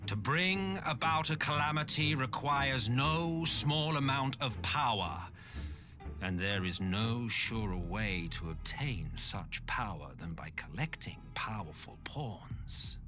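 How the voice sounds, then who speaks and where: An ancient immortal schemer from a video game, drawling slowly with mocking condescension. His pitch swoops theatrically as he toys with each phrase, weary and superior, over prominent orchestral music. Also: animated. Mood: smug, theatrical. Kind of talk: video game villain acting.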